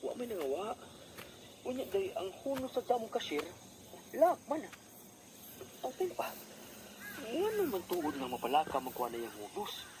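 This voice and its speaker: A man's voice: high-pitched, wildly swooping pitch, moderate pace, pauses often, background noise. A man acting an aggrieved working husband in a radio drama, earnest and easily upset, with a Cebuano Filipino accent. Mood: matter-of-fact.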